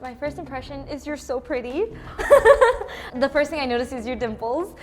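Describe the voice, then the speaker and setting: A woman's voice: high voice, lively intonation, moderate pace, heavy background noise. A young American woman chatting casually and expressively about herself, with a bubbly, giggly manner. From United States, suburban Seattle area. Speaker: guest in a paired conversation video. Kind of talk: casual chat, personal storytelling.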